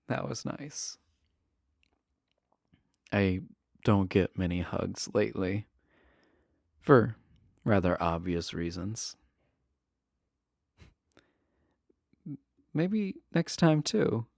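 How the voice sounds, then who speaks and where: An actor playing a shy, adorkable imprisoned villain in a romance audio roleplay, nervous, earnest and stammering. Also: male voice, lowish voice, gravelly, darkish tone, no room sound, studio quality, heavily acted. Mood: tender, shy. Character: shy, adorkable imprisoned male villain, smitten with pen pal.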